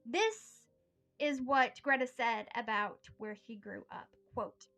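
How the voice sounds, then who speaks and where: A woman hosting a history podcast, reading her prepared script in an earnest, steady storytelling way. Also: fairly high pitch, slow pace, faint music behind.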